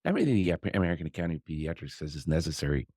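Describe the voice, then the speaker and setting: Deep-voiced man hosting, dry recording. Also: rapid-fire, darkish tone, no echo. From born in Colombia, raised elsewhere. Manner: pointed, sardonic. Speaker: podcast host, pediatrician. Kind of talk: casual interview conversation.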